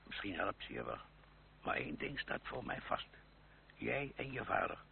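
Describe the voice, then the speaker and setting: An actor playing a gruff, fond old uncle in a radio play, halting and gently scolding, with a creaky old voice. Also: male voice, fairly low pitch, big pitch swings, exaggerated voice. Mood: musing, exasperated. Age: older. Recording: noisy, muffled old radio recording.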